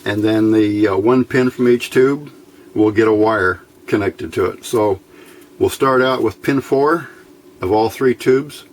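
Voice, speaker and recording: A man giving a casual, step-by-step hobby electronics tutorial, unhurried and thinking aloud as he works. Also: lowish voice. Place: indoors at a workbench by a window. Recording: faint background noise.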